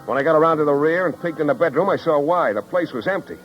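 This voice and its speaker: An actor playing a wry, tough, world-weary private detective in a 1950s radio drama, with slangy, wisecracking delivery and narration. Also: male voice, on the high side, animated, fast, soft background music, lightly acted. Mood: brooding, wry. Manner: hard-boiled, wisecracking, slangy. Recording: dull vintage 1950s radio recording.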